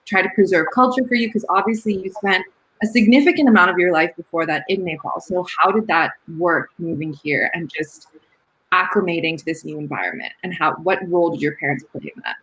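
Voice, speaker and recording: A woman's voice: on the low side, fairly quick, a bit bright, poor audio. A woman hosting a casual online interview show, upbeat and encouraging with her guest, on a video call mic. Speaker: podcast host.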